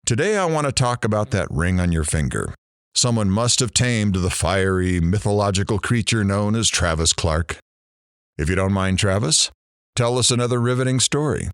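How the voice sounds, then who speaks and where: A man acts out a sardonic middle-aged radio shock jock for an audio drama. His voice is low and swings widely as he delivers showy, sarcastic DJ patter. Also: animated, normal speed, clean tone, dry recording, studio quality. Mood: teasing, showy. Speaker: radio talk show host.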